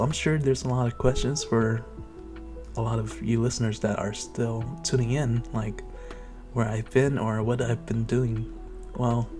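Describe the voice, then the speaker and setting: A young man talking casually to his listeners as the creator of an audio channel. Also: mid-range voice. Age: young adult. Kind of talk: casual intro addressed to listeners.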